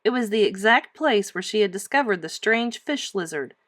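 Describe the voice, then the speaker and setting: A woman reads a children's storybook aloud in a teacherly way. Her mid-pitched voice is highly expressive and emphatic, moving up and down a lot, and the sound is dry and close. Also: normal speed, no room sound.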